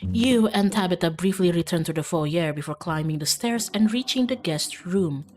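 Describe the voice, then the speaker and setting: A woman's voice: lowish voice, normal speed, a little mellow, lightly acted. A woman reading as a wry, dramatic second-person narrator of a visual novel. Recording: background music.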